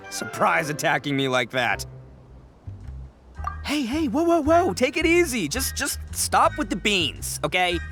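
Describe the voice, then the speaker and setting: A man voicing a brash, boastful male oni gang boss in a video game, loud and stammering with frequent laughs. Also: high voice, heavily acted.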